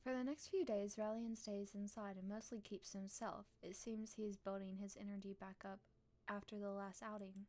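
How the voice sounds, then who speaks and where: Expressive woman streaming a visual novel. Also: mid-range voice, moderate pace. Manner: playful, expressive. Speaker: game streamer. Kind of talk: live stream chat and read-aloud narration.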